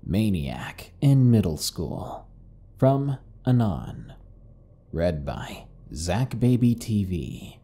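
A man reading scripted horror stories as a narrator, in a deliberate, grave manner. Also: on the low side, very animated, warm tone, slightly rough. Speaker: narrator and host.

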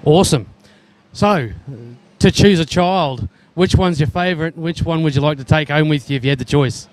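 A man's voice: fairly high pitch, normal speed, a little mellow. A man in his thirties with an Australian accent, interviewing exhibitors at an indoor trade show on a handheld mic in a casual, friendly way. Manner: friendly, chatty, Aussie slang like mate. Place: indoor expo hall, busy.

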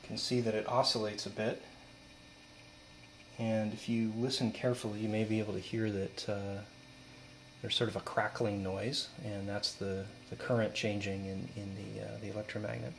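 Young male student presenter, even monotone, informal and explanatory, faint mains hum behind. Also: on the low side, normal speed, vocal fry, poor audio. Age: young adult. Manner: matter-of-fact, explanatory. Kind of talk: informal demonstration narration. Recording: steady mains hum, background noise.

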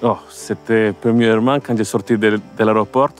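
Colombian-born man in his 30s, low voice, faint music behind. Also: steady tone, moderate pace. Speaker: interviewee. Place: outdoors in a valley field.

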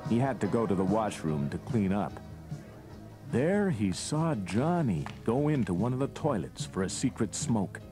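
Animated male storybook narrator over music. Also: on the low side, normal speed. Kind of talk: scripted narration. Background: loud music.

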